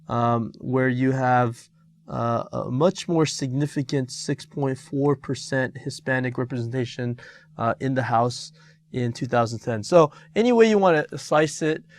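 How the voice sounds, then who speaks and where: A middle-aged man reviews an exam answer for his students. He talks in halting stretches, full of "uh" and "um" and restarted phrases. His voice sits at a middle pitch for a man. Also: dry recording. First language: Korean. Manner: halting, many fillers and restarts. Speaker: teacher.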